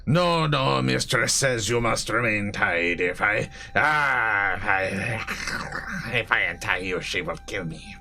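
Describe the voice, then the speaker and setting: A man voicing a lowly, fearful reptilian servant creature in a tabletop game, whining and moaning in simple broken speech. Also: animated, slow, gravelly, sharp tone, a little hiss or hum, exaggerated voice. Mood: frightened pleading.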